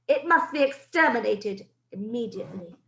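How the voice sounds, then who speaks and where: A woman's voice: fairly high pitch, animated, normal speed, vocal fry, a bit bright, echoey room, poor audio. A woman reading aloud voices a screeching, tyrannical female witch leader who barks commands. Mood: commanding. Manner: shrieking, commanding.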